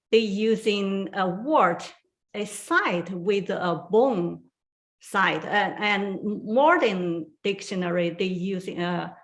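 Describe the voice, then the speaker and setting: Slow-speaking woman. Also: mid-range voice, a bit bright. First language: Chinese. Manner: slow, careful, with many fillers and pauses. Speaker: guest tai chi trainer. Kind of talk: online forum talk.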